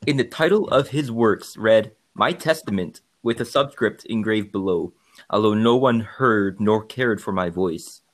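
A man's voice: fairly low pitch, unhurried. A man co-hosting a podcast, giving formal scripted narration. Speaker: podcast co-host.

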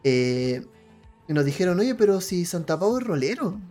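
A man's voice: sits high, sing-song, normal speed, darkish tone. A man with a Chilean accent co-hosting a casual podcast, telling stories by acting out reactions. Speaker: podcast co-host. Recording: faint music behind. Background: faint music.

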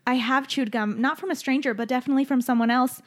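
Young woman, high voice, podcast co-host, animated, persuasive, laughs often. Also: fast talker. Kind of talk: casual chat.

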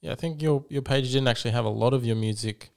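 A man's voice: mid-range voice, fast, vocal fry, clean tone. A man hosting a podcast, reading an intro and then chatting in a laid-back, slangy way with lots of fillers. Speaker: podcast host. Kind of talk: scripted intro, then casual interview chat.

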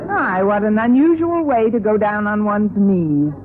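An actress playing a wry schoolteacher who delivers dry, sardonic lines in a 1950s radio sitcom. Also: female voice, medium pitch, measured pace, over a noisy crowd, natural voice. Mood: dryly amused. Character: wry, wisecracking unmarried woman schoolteacher. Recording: tinny, noisy old radio recording.

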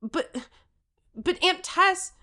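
A woman's voice: extremely high pitch, expressive, very slow, pushed and bright, full character voice. A woman playing an earnest, determined thirteen-year-old girl who tells her own story with urgency in an audio drama. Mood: alarmed, pleading. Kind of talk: first-person audio drama narration and acting.

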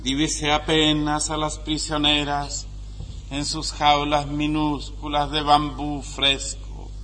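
A man recites poetic prose with solemn, measured delivery. His fairly high voice moves slowly and stays very even, over a faintly noisy recording. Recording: faint noise and hum.

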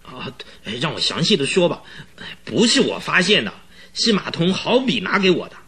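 An actor playing an agitated, talkative male client who recounts eerie events in a halting, rambling way in a radio drama, with a husky voice. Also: fairly high pitch, huge pitch swings, pushed and bright, exaggerated voice. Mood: earnest, puzzled. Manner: rambling, halting, full of interjections. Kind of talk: Chinese radio drama acting.

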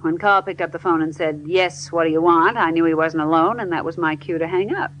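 An actress playing a coy, flirtatious schemer in a 1940s radio detective drama. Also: female voice, low-pitched, a little fast, a bit bright, muffled recording. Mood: curious. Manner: coy, cool. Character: sly, flirtatious female schemer, a noir femme fatale. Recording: noisy old radio drama recording.

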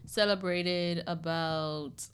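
A woman's voice: fairly low pitch, steady tone, drawn-out words, fairly bright tone, slight background noise. A woman in her twenties from The Bahamas, chatting casually as a podcast guest. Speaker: podcast guest sharing own experience. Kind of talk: casual chat.

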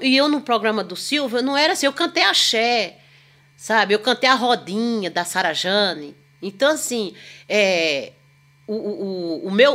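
A woman's voice: mid-range voice, a bit bright. A woman, a singer and podcast guest telling long, rambling stories in a chatty, self-deprecating way. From Northeast Brazil. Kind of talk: casual storytelling chat.

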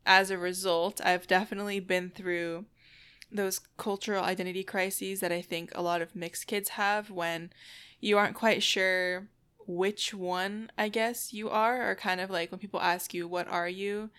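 A woman's voice: mid-range voice, steady tone, measured pace, fairly bright tone, clear, resonant tone. A young woman from the United States co-hosting a casual podcast, talking in a friendly, reflective way in full sentences. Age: young adult. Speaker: podcast co-host.

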